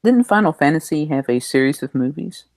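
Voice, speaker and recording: A man's voice: fairly high pitch, expressive, a little fast, creaky, dry recording. A man chatting casually as one of the panelists on a podcast. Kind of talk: casual chat.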